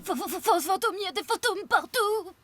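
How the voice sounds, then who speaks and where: A woman's voice: very high-pitched, lively intonation, a little fast, background noise. A woman voicing an earnest, friendly young boy in a cartoon, exclamatory in manner, with music behind the voice. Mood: frightened. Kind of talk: French-dubbed cartoon acting.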